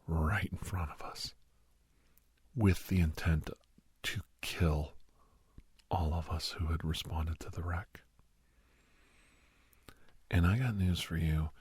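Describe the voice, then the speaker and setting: A man playing a grave doctor in an ASMR roleplay, telling a haunting memory in a hushed, halting, breathy voice that often drops to a whisper. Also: very deep voice, dry recording, heavily acted. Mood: grave, haunted. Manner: halting, hushed, dramatic delivery. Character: grave male doctor recounting a frightening memory to youngster.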